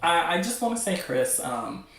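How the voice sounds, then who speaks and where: A man hosting a casual livestream, talking in a gushing, excitable, rambling way peppered with "like". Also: fairly high pitch, normal speed, vocal fry. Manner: gushing, excitable fan enthusiasm; rambling, heavy use of "like". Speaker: livestream host. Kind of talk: casual livestream chat about webcomics. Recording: echoey room, distant from mic.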